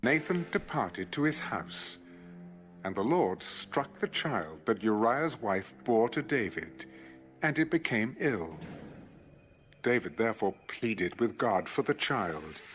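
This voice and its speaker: A man's voice: mid-range voice, a bit bright. A man narrating scripture from a script as dramatic audio Bible storytelling.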